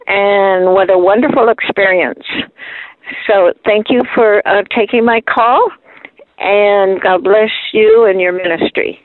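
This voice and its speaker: A woman's voice: medium pitch, fairly even intonation, takes it slowly, no echo. Woman in her seventies on a phone line. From Alaska. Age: 70s. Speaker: caller. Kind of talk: recorded phone message.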